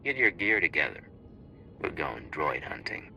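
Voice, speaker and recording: A man's voice: a bass voice, big pitch swings, measured pace, vocal fry, pushed and bright, highs cut off, soft background music, heavily acted. A man playing a hard, commanding covert agent in a game cutscene, curt and authoritative.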